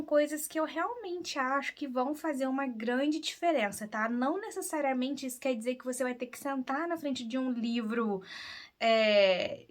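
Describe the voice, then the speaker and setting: Woman, Brazilian Portuguese accent, language teacher, high voice, chatty and earnest, casual lesson. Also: a bit bright. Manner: chatty, earnest, frequent tag questions. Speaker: English teacher and podcast host.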